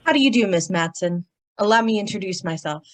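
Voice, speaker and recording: A woman's voice: medium pitch, animated, a little fast, close to an everyday voice. A woman playing a prim, pompous male department-store executive in old-time radio style, formal, stiff and precise. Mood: formal, officious.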